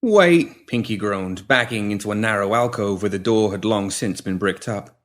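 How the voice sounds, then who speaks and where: Man narrating dark fiction. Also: lowish voice, fairly even intonation, normal speed. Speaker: story reader.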